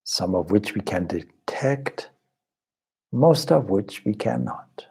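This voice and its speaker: A man leading a guided meditation as a teacher, speaking in measured, deliberate short phrases with pauses and a soft, husky voice. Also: on the low side, lively intonation. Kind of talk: guided meditation, slow spoken instruction.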